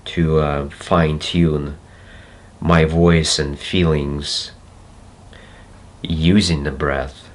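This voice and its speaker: A man with a Russian accent, a native Russian speaker, talking slowly and reflectively to camera and pausing to search for words. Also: extremely low pitch, little pitch movement. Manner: slow, deliberate, searching for words with pauses. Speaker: self-development video host. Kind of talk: slow reflective monologue to camera.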